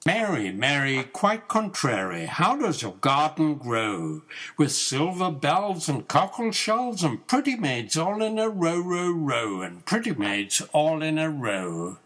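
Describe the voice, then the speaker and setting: An older man reads nursery rhymes in a grandfatherly role. His voice sits a little high for a man and moves up and down with lots of animation. The delivery is expressive and rhythmic, with a sing-song lilt meant for small children. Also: takes it slowly.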